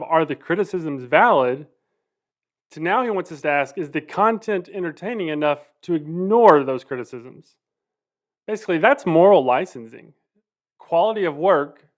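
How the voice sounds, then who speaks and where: A man with a moderately high voice presents scripted commentary. He is analytical and critical, stating each point declaratively as the host of the show.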